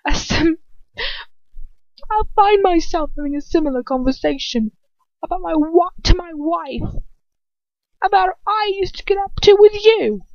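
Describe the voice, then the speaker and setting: A woman's voice: very high voice, animated, a little slow, long pauses, darkish tone, dry recording, muffled recording, voice pushed up, exaggerated voice. A woman voicing a cold, haughty, contemptuous man in a story reading, with a curt and drawling manner. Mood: menacing.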